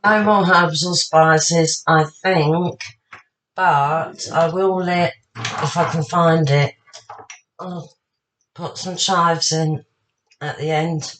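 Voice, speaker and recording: Tired, husky older Englishwoman cooking. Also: deep voice, fairly even intonation, very slow, long pauses, fairly bright tone, slight background noise, rough recording. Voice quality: slightly husky. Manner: chatty, rambling, talks to herself while working. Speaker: home cook. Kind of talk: casual cooking demonstration.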